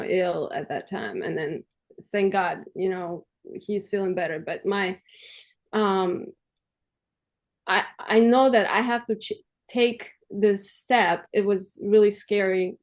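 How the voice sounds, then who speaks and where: A woman from Armenia, raised in Russia, speaking English as a guest, earnest and halting, sharing her story with light laughter. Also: mid-range voice. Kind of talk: personal testimony in a conversation.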